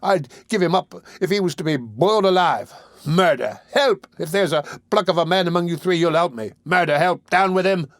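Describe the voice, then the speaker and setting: Guy putting on a high screaming boy, scared but defiant, yelling his head off. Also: a little fast, hardly any pauses, dry recording, studio quality, close to an everyday voice. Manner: shrill, frantic, shouting. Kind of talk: audiobook character voice.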